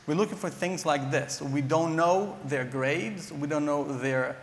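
A man's voice: a little high, a little slow. A man in his thirties from Brazil with a Brazilian accent, speaking earnestly as a panelist on stage with frequent ums and restarts. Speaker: panelist, nonprofit director. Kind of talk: conference panel talk. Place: large conference hall stage. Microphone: lapel mic. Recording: echoey conference hall reverb.

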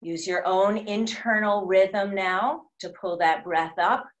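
A woman teaching yoga, leading a guided meditation in a soothing, measured way with gentle pauses between phrases. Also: medium pitch, poor audio.

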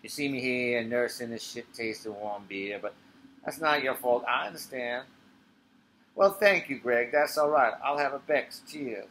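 A man's voice: mid-range voice, unhurried, a bit bright, rough recording. Man acting old blind ex-boxer, streetwise, wistful, offhand, stage monologue, background noise. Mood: grateful.

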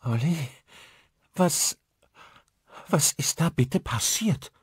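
A distraught young wife from a horror audiobook, acted by a male narrator. The voice is lifted high and husky, trembling with urgent fear. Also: lively intonation, extremely slow, no room sound, clean studio sound, full character voice. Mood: alarmed, distraught.

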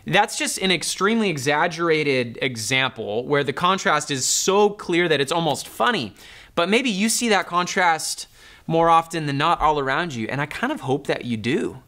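A man's voice: on the high side, expressive, normal speed, bright, forceful tone. A man in his thirties, a pastor, preaching a sermon in an earnest, conversational storytelling manner. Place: dark studio, indoors.

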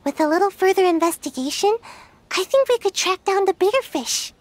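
A woman voicing a sleepy, lazy young girl ninja in a video game, talking in a drowsy, soft, unhurried way. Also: very high voice, lively intonation, no room sound. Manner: drowsy, slow and soft-spoken.

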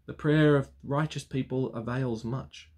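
A clergyman in his thirties speaks at his desk in a small study lined with bookshelves. His voice sits at an ordinary pitch for a man. He talks earnestly to his viewers and settles into a solemn, measured prayer cadence. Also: steady tone, a little mellow. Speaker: Anglican clergyman. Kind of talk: vlog talk leading a spoken prayer. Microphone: webcam framing at desk.

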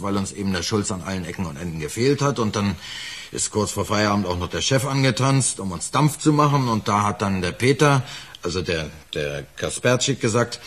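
An actor playing a defensive working-class lift fitter in a radio crime play, talking colloquially and haltingly. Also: male voice, fairly low pitch, fairly bright tone, breathy edge. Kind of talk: naturalistic German radio drama acting.

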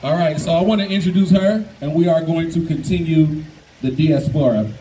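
A man's voice: on the high side, moderate pace, noisy background. Distant man hyping a crowd. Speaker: emcee and older brother.

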